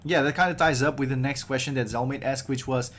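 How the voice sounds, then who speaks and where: A man's voice: mid-range voice, fairly even intonation, quick pace, fairly bright tone, a little hiss or hum. A man hosting a casual podcast livestream, joking and loose with a groggy voice. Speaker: podcast host.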